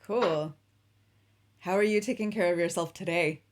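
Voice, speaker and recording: A woman's voice: fairly low pitch, moderate pace, background noise. A woman hosting an interview, asking questions and giving short, encouraging replies. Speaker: interview host. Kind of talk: casual interview chat.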